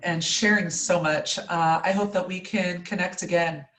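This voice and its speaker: A woman hosting an interview and speaking calmly about her own client work. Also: fairly low pitch, normal speed, reverberant, far from the mic. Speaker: interview host and practitioner. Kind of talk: video call interview.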